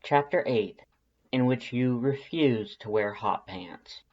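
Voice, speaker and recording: A narrator reading fan fiction aloud in an expressive, scripted storytelling style. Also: mid-range voice, takes it slowly, lo-fi sound.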